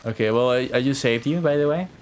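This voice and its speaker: A man's voice: medium pitch, fast talker, a little hiss or hum. A man live streaming a game, chatting informally, swearing and thinking aloud as he plays. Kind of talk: casual live playthrough commentary.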